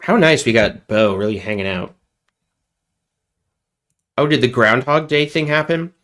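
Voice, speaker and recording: A man's voice: medium pitch, expressive, a little slow, fairly bright tone. A man hosting a casual livestream while sick with a cold, rambling and joking at his own expense. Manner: rambling, joking, self-deprecating. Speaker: livestream host.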